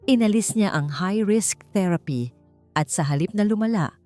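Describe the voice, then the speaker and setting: A woman's voice: mid-range voice, expressive, normal speed, warm tone. A woman with a Filipino accent reading a story as dramatic scripted audiobook narration. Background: background music.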